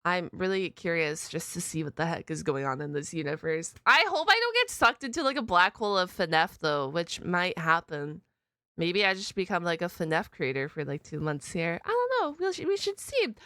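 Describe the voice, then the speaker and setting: A woman's voice: on the low side, wildly swooping pitch, normal speed, sharp tone, no echo, studio quality. A woman hosting a reaction video in a casual, chatty way, exclaiming and musing aloud in informal slang.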